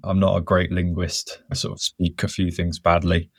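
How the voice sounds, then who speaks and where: A man with a very low voice talks as a podcast guest. He thinks aloud at an unhurried, reflective pace and sometimes starts a sentence over. The sound is dry and close. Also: completely flat delivery, husky, no echo. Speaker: podcast guest, conservation worker. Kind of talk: casual conversational interview.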